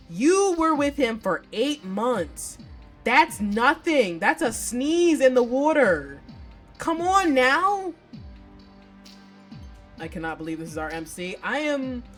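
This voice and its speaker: A woman streams a visual novel with a medium-pitched voice over background music. Her commentary is casual and slangy, full of profanity. She reacts out loud in an animated, exclamatory way. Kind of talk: casual game livestream commentary.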